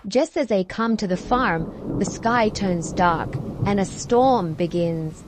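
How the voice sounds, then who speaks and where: A woman reading a children's storybook aloud, deliberately, with drawn-out emphasis on key words. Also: mid-range voice, background noise. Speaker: storybook reader. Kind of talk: expressive children's read-aloud narration.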